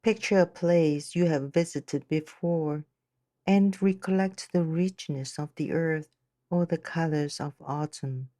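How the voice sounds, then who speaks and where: A woman with a low, very mellow voice leads a guided meditation. She speaks very slowly and gently, giving each phrase room. The recording is dry and close, with no room echo. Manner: gentle, unhurried, measured.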